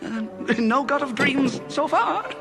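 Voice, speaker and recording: A fumbling court magician who stammers and hems as he hunts for the right thing. He speaks in a high, lively voice, drawn out slowly, with loud music playing underneath. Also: creaky, exaggerated voice. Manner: fumbling, hesitant, many uhs. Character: muddled male court magician searching idols.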